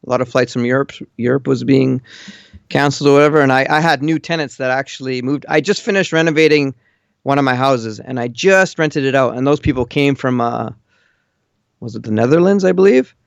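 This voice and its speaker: A man's voice: medium pitch, a little fast, vocal fry, no echo. A man calling in over an online voice call, chatting casually with blunt, matter-of-fact opinions. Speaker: caller living in Japan. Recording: muffled lo-fi internet call.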